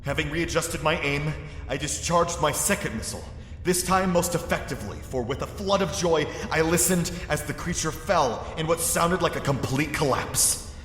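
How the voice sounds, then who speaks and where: A man's voice: fairly high pitch, fairly bright tone, lightly acted. A man acting a terrified lost explorer, narrating his ordeal in formal, theatrical gothic horror style. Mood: frantic excitement. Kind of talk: dramatic first-person gothic horror narration. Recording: echoey cavern-like reverb, faint noise.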